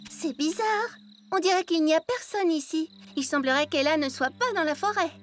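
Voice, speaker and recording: A woman's voice: very high voice, normal speed, background noise. A woman playing a confident, sceptical young girl leader in a cartoon, assured and decisive. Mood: casual. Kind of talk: dubbed cartoon acting.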